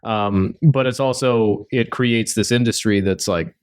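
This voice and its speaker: A man's voice: lowish voice, unhurried, clean tone. A man hosting an interview, asking curious, probing questions with some hesitations. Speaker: interview host. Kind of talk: casual interview talk.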